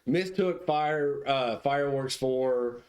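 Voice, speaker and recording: A man's voice: medium pitch, takes it slowly, darkish tone. A man hosting a podcast in casual chat, skeptical and questioning, thinking aloud. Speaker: podcast host.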